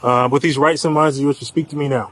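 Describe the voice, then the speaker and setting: A man's voice: on the high side, steady tone, fast talker, a bit bright. A man speaking as a police officer, blunt, firm and informal, questioning and giving procedural instructions. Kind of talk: blunt questioning and procedural talk during an arrest. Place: outdoors in a park. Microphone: body-worn camera. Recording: noisy outdoor bodycam audio.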